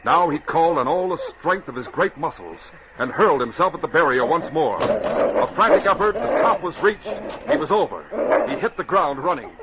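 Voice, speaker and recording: A man's voice: fairly high pitch, sing-song, moderate pace, a crowd in the background. A man narrating an adventure serial in dramatic, scripted 1940s radio style, on a muffled, noisy old recording.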